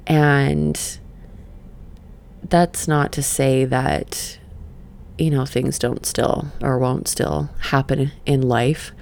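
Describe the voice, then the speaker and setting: Sleepy, slow-talking woman with a gravelly voice. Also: rumbling low, steady tone. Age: middle-aged. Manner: soft, slow and meandering, with sighs and fillers. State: sleepy, just woke up. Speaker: podcast host and session coach. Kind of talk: guided breathing then casual reflective talk.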